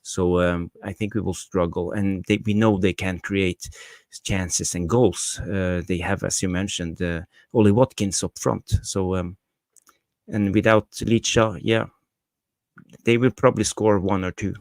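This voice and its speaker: A man co-hosting a casual football chat, measured and thinking aloud as he talks. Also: low-pitched, steady tone, a little mellow, dry recording. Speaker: co-host of a football fan show.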